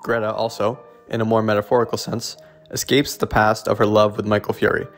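Man narrating an essay, lowish voice, very flat intonation, scripted, soft music under. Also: brisk.

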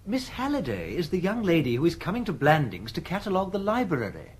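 A humorless, efficient secretary for radio comedy. His English RP voice is a touch husky. He speaks formally and precisely, with cool patience. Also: high-pitched, wildly swooping pitch, quick pace, exaggerated voice. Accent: English Received Pronunciation. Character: efficient, humorless, officious male private secretary. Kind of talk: radio comedy drama acting.

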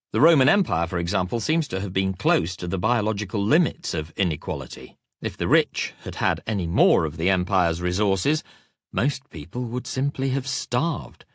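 A man reading a nonfiction audiobook in measured, deliberate phrases, leaning on each item of a list. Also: on the low side, no room sound, studio quality. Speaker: audiobook narrator. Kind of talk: scripted nonfiction audiobook narration. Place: recording studio.

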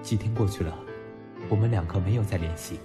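A man playing a blunt, teasing young university student who is quick to flare up, in an audio drama that he also narrates. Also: lowish voice, close to an everyday voice. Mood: reflective narration. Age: young adult. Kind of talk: Mandarin audio drama acting and first-person narration. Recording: background music under the voice.

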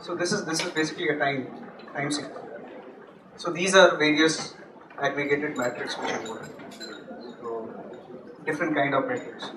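A young man giving a technical talk at a meetup, halting with many restarts and fillers, on a handheld mic in a large office room. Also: fairly high pitch, steady tone. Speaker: conference speaker. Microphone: handheld mic, far camera. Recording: echoey room from far off, noisy.

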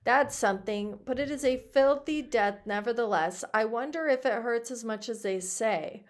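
A woman's voice: fairly high pitch, moderate pace, lightly acted. Woman reading as a grim, self-important fugitive anarchist. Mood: grim resignation. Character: frightened, exalted, bitter male anarchist fugitive.

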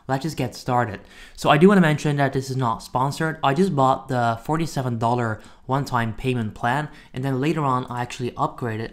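Casual man hosting a software walkthrough. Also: mid-range voice. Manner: informal, addresses viewers as guys. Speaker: software reviewer and tutorial host. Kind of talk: casual screen-recording walkthrough.

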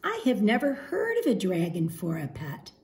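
A woman voicing a firm, skeptical mother from a storybook, with emphatic, measured delivery. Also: mid-range voice, huge pitch swings, darkish tone. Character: boy's mother, firm and skeptical about pets. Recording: roomy home echo.

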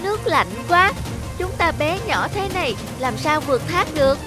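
A woman voicing a small, young talking fish in an animated fairy tale, expressive and earnest. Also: high voice, normal speed, music in the background. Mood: chatty.

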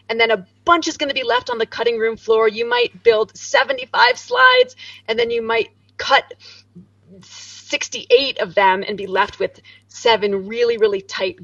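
A woman in her forties speaking in an energetic, emphatic way as an interview guest over a video call. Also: creaky, no echo, recorded from a distance, muffled recording. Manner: animated, emphatic, swoops high and low. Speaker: guest, corporate director. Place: home room, indoors. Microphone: studio mic on boom arm, video call.

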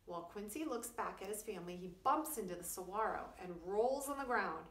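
Middle-aged female preschool teacher, animated storybook delivery with simple asides, recorded in an echoey room at a distance. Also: mid-range voice, brisk. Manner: expressive, explaining simply for young children. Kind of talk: children's read-aloud with explanatory asides. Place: small wood-panelled room indoors. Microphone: camera a few feet away. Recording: roomy, distant camera microphone.

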